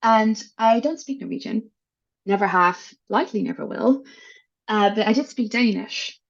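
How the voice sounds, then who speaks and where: A woman's voice: mid-range voice, moderate pace, gravelly, lo-fi sound. A woman from the Netherlands whose first language is Dutch, talking frankly and thoughtfully as an interview guest about her work. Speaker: guest, head of people team. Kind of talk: casual interview talk.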